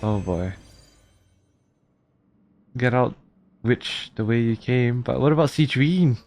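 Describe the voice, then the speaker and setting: A man's voice: medium pitch, lively intonation, slow, darkish tone, soft background music. A man who streams games, giving casual reactions as he plays.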